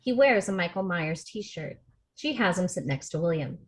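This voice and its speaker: Woman narrator, stage directions, fairly low voice, steady matter-of-fact reading, video call. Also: moderate pace. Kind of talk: table read narration of a script.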